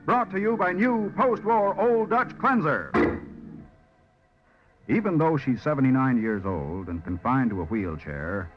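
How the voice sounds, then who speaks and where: A male announcer narrates in polished 1940s radio style. His mid-pitched voice moves with lively intonation over music, on a dull vintage recording. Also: measured pace.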